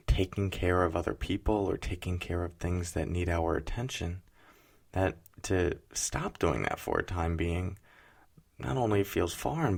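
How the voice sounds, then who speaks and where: A man leading a guided mindfulness meditation in a gentle, unhurried, soothing way, leaving pauses between phrases. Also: low-pitched, gravelly.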